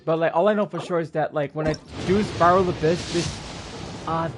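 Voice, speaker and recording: A male game streamer with a slightly high voice talks casually to viewers. He rambles, stops and starts, and circles back over phrases. Soft music sits in the background. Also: steady tone. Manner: rambling, halting, repeats himself. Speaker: game livestreamer. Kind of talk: casual livestream chat.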